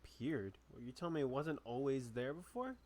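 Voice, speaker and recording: A man's voice: medium pitch, big pitch swings, moderate pace, darkish tone. A man reacting live to a video game story, blurting startled exclamations in a casual way. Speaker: gamer reacting.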